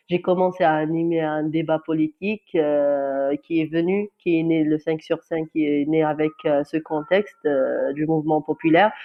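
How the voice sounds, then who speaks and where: A woman journalist from Algeria, a Kabyle speaker, giving a halting personal testimony in an interview. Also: deep voice, dull audio. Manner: halting, often searching for words.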